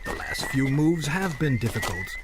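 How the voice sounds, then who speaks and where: An actor playing a suave, menacing carnival villain in an audio drama, speaking in a courtly, formal, mockingly polite and theatrical way. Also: male voice, mid-range voice, animated, moderate pace, noisy background, lightly acted. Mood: smoothly polite. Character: suave, menacing male villain, a magician's mechanic who runs a carnival dark ride.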